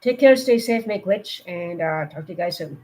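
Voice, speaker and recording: A woman with a cold, her voice going hoarse, giving earnest safety warnings in a rambling, casual live stream. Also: on the low side, lively intonation, normal speed, a little mellow.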